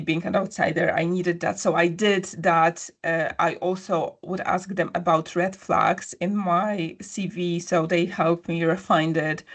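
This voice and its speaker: A woman guest, telling a personal story in a conversational interview with many fillers. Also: lowish voice. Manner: storytelling, frequent fillers and restarts.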